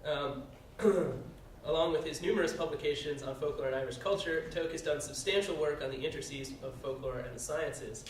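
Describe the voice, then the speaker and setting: A man moderates a conference panel and reads each speaker's introduction aloud, often pausing on "uh". His voice sits a little high. It reaches the mic from a distance in a very reverberant room with faint background noise. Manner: reads bios with frequent "uh" fillers. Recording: very echoey room, heard from a distance.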